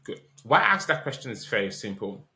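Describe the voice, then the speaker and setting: A man's voice: medium pitch, sing-song, fairly quick, gravelly, pushed and bright. A man hosting a podcast interview, asking questions politely with many ums and restarts. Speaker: podcast host.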